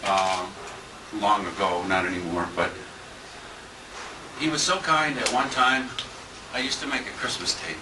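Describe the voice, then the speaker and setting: A man's voice: lowish voice, a little slow, pauses often. Earnest older man, distant and echoey. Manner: plain-spoken, earnest. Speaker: longtime friend giving a tribute. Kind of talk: unprepared personal tribute. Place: restaurant banquet room. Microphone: handheld compact camera at a distance. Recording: roomy, noisy handheld camera from far off.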